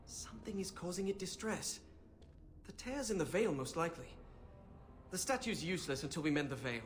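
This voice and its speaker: A man playing an excitable, bookish young mage companion in a fantasy video game, with a theatrical manner. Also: high-pitched, a little fast. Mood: explaining.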